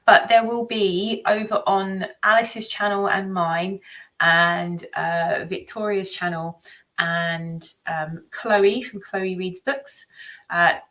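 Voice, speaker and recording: Bright-voiced woman chatting on a livestream. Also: mid-range voice, fairly even intonation, slow. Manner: chatty, hesitant, thinking aloud. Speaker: host of a book readalong livestream. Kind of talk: casual live-stream chat, reading out comments and cards.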